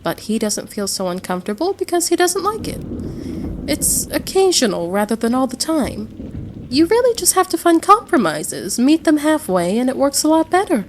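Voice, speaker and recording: A woman plays a caring American wife and mother in a roleplay audio drama. Her voice sits a little high and moves with very lively intonation. She is gentle and reassuring toward her guest, over faint background ambience. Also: normal speed, a little hiss or hum. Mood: affectionate. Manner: gentle, reassuring, uses endearments.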